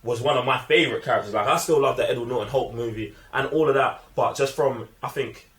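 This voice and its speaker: A man's voice: medium pitch, quick pace, fairly bright tone. A man with a London accent in a casual film discussion, talking emphatically in heavy slang and repeating himself to press his points. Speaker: film review show host. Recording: roomy, echoing room sound.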